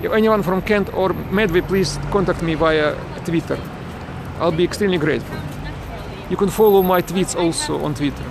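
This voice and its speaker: A man's voice: high-pitched, moderate pace, creaky, over music. A man from Ukraine, broadcasting live from a city street on a phone, answering viewers in broken English and often restarting his words. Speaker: parent livestreaming a protest. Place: outdoors on a city street with traffic. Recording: noisy outdoor phone recording with traffic.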